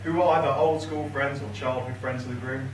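A young man in his late twenties giving a best man's speech from notes at a wedding reception, with a dry, teasing delivery and pauses for laughter. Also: mid-range voice, fairly even intonation, noisy background. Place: large reception room with high ceiling, indoors. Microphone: far camera across the room, no mic. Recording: echoey room from far off. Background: guests laughing, room ambience.

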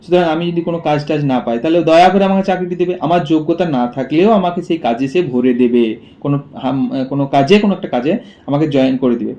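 The recording is dull and lo-fi. A male schoolteacher explains a text phrase by phrase in a soft-edged, relaxed voice pitched a little high. He often repeats key words and puts questions that he then answers himself. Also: fast. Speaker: school literature teacher. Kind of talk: explanatory lesson, reading a story line by line. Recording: dull, muffled lo-fi audio.

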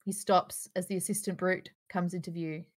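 A woman's voice: lowish voice, level tone, normal speed. A woman reading stage directions as the narrator of a live online table read. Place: home room, online video call.

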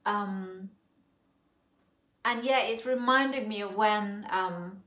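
A woman's voice: medium pitch, slow, fairly bright tone. A woman in her forties from Thailand, reviewing a perfume in a casual, musing way, with many fillers and restarts, recorded at home on a sofa. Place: living room sofa, indoors. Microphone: camera in front at close range. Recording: echoey room.

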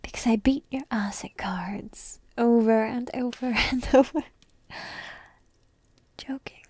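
A woman's voice: on the high side, slow, darkish tone, no room sound. A woman playing a petite, entitled but devoted dragon princess in a whispered, coy and intimate fantasy ASMR roleplay. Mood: fond, playful. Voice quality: breathy, whispery. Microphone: close microphone, dry.

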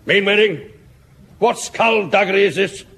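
Haughty high-pitched English officer. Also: male voice, moderate pace, a bit bright, husky, full character voice. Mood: outraged. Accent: upper-class English. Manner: haughty, barking. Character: blustering, haughty English army captain, outraged rival. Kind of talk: 1970s British radio sitcom acting.

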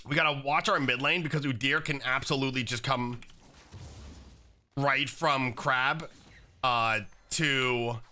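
A young man with a slightly raspy voice from being sick, chatting casually while commenting on his own gameplay at a desk setup. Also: mid-range voice, steady tone, a little slow, pushed and bright. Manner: casual, chatty, many fillers like "like" and "um". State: slightly raspy, recovering from illness. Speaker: gaming YouTuber. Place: home gaming setup, indoors.

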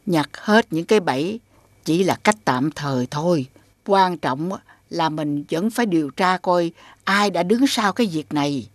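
A woman's voice: fairly low pitch, animated, measured pace, no echo. A woman playing a kind, wise old village grandmother in a children's cartoon. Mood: concerned, advising. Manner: gentle, instructive. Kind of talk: children's animated cartoon acting. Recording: music behind the voice.

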